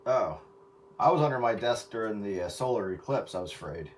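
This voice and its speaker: A man's voice: on the low side, animated, fairly quick. An American man talks over the radio in a loose, wry way. He sounds set well back from the mic, with heavy noise all around the signal. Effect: radio transmission. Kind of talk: casual CB radio chat. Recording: distant, very noisy radio signal.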